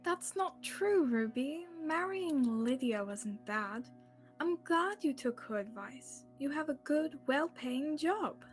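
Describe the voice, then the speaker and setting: Woman acting sincere consoling young woman, high-pitched, gently insistent, amateur radio drama over quiet music. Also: animated, slow. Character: earnest, consoling young woman helping a sheriff. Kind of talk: student radio-theatre acting.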